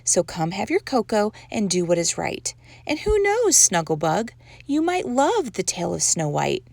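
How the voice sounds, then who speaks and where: A woman's voice: mid-range voice, very animated, moderate pace, creaky, a little mellow, dry recording. A woman voicing a doting but firm mother cat in a storybook, coaxing and singsong.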